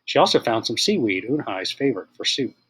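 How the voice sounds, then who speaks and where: A man narrates a children's storybook in an expressive read-aloud style. His pitch is mid-range and his voice is slightly husky. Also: fairly quick, poor audio. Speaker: teacher reading aloud.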